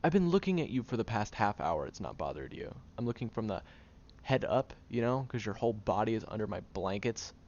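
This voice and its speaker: A man's voice: on the low side, very animated, fairly quick, a little mellow, muffled recording. A man playing a prickly, defensive young man who is sarcastic but secretly caring, in an audio roleplay spoken to the listener. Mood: flustered, indignant. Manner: gruff, sarcastic, flustered tsundere bickering.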